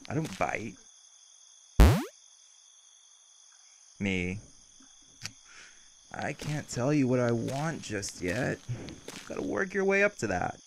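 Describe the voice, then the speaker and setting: Guy doing a cocky vampire in an audio rp, flirty and casually threatening, crackly fry in the voice, faint night insects. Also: medium pitch, big pitch swings, takes it slowly, pauses often. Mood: teasing reassurance.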